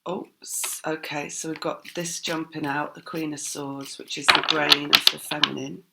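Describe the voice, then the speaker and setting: A woman reads tarot in a relaxed, meandering way and keeps circling back over her thoughts. Her voice is croaky and gravelly, with a lot of fry, and her pitch stays flat. She pauses often on soft fillers. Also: very noisy. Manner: rambling, reflective, many fillers. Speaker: tarot reader. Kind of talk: casual spiritual reading.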